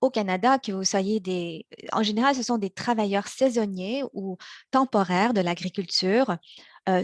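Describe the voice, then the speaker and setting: A young woman born in China and raised in Montreal, giving a prepared practice speech in a measured way, slowing on key words, with small restarts. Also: mid-range voice, no room sound. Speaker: practice speaker for interpreters.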